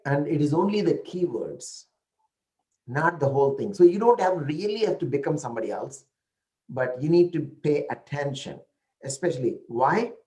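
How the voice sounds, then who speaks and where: A man's voice: on the high side, sing-song, moderate pace, a little mellow, low-quality recording. A man with an Indian accent who speaks an Indian language natively, telling stories in a casual talk and often checking in with "right?" and "you know".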